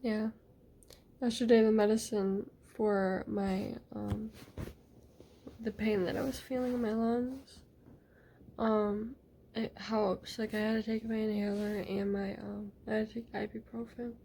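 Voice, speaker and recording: Woman vlogger, mellow fry-heavy voice, hesitant rambling diary talk. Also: mid-range voice, level tone, unhurried, a little hiss or hum. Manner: hesitant, rambling, many fillers. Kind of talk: casual video diary.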